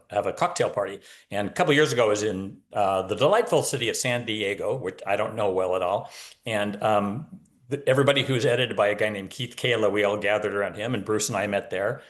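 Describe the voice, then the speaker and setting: A man speaking as an author guest in a casual, conversational interview. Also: on the low side. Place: remote video call from a home.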